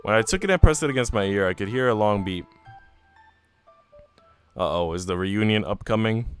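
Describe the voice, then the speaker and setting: Low-voiced man streaming, music behind him. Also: moderate pace. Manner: informal, slangy, chatty. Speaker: streamer reading a visual novel aloud. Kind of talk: live stream read-aloud with casual asides to chat.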